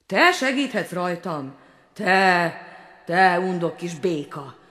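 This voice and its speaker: A proud young fairy-tale prince, impatient and quick to scoff, his voice raised and forthright, as a woman acts him out. The delivery swings lively in pitch, with a brusque, indignant edge.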